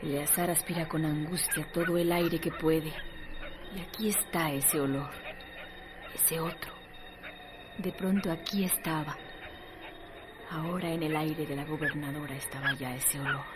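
A woman's voice: sits low, steady tone, unhurried, pauses often, noisy background. A woman giving a dramatic literary narration for a radio drama.

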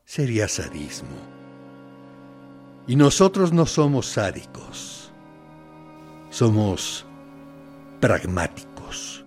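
A military interrogator in a radio drama, playing the friendly one while threatening. He speaks slowly and deliberately, with dramatic pauses and wide swings of pitch, over background music. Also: big pitch swings, darkish tone. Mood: veiled threat. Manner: deliberate, mock-friendly, condescending, with theatrical pauses. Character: smooth, menacing male military interrogator playing good cop. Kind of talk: dramatic radio play monologue.

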